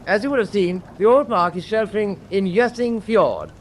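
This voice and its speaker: An actor with a British accent playing a resolute naval officer briefing his men in a war radio drama, crisp and authoritative. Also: sits high, normal speed, lightly acted. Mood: resolute, businesslike. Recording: faint background noise.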